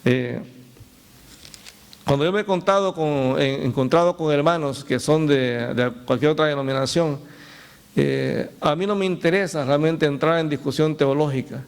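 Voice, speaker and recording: A man's voice: a little high, moderate pace, creaky, clean tone. A man from El Salvador, a pastor preaching a sermon who tells stories with laughter and speaks directly to his congregation.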